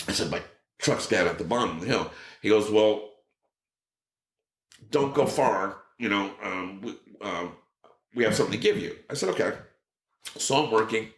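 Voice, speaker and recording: A middle-aged man telling a story in casual chat, acting out quotes with a raised voice. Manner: animated, acts out quotes with raised pitch. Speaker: podcast guest. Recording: roomy echo.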